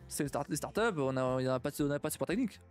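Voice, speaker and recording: A young man streaming casually and reacting to stories, talking in a halting way, repeating words and laughing often. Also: medium pitch, animated, soft background music.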